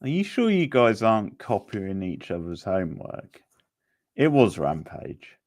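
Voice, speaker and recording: A man's voice: on the low side, very animated, unhurried, a little mellow. A man casually hosting a quiz, chatting with participants and making dry, wry remarks. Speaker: quiz host.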